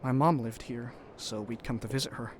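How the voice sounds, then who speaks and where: An actor playing a loyal young crewman in an audio drama, speaking in a measured, earnest, reassuring way. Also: mid-range voice, steady tone, noisy background, close to an everyday voice. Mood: somber.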